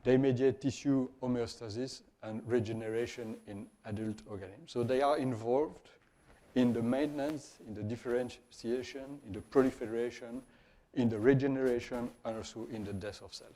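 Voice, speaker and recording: Man, soft-edged mellow voice, mid-pitched, Belgian French accent, native French speaker, academic lecturer, deliberate halting delivery. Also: steady tone. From Wallonia, Belgium. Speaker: professor, guest lecturer. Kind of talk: scientific conference lecture.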